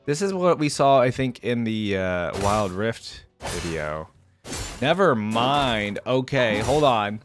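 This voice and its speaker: A man's voice: medium pitch, very animated, takes it slowly, soft background music. A man giving casual, off-the-cuff reaction commentary as a video host, thinking aloud in an informal way.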